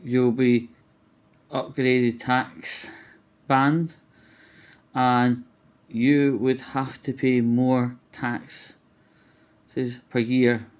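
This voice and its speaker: A man's voice: mid-range voice, level tone, drawn-out words, pauses often. A man giving a casual, opinionated monologue as a vlogger, rambling and colloquial, with some mild swearing.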